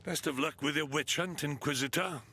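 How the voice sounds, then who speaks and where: An actor playing a stern, weary military commander in a fantasy video game, curt, authoritative and sardonic. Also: male voice, a little high, moderate pace, pushed and bright, slight background noise. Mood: stern, businesslike.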